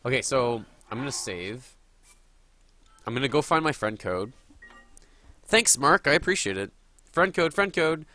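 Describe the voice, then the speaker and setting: A man's voice: medium pitch, lively intonation, a little slow, long pauses, pushed and bright. A man with a cold, sniffling a little, chatting and thinking aloud as he streams a game live. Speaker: gaming streamer.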